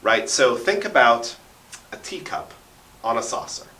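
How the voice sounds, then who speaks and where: Animated man, bright voice in a roomy space. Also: medium pitch, a little slow, a little hiss or hum. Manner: explanatory, punctuates points with "right?". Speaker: interview guest, radio drama writer and sound effects performer. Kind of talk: casual animated interview talk. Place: radio station studio. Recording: roomy studio echo, distant mic.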